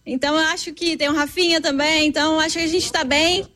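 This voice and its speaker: A high-voiced woman with a southern Brazilian gaúcho lilt answers a reporter's questions outside a stadium. She talks cheerfully and with confidence. The sound is open and outdoor. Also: steady tone, quick pace, pushed and bright. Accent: Brazilian Portuguese, southern (gaúcho). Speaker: fan interviewed.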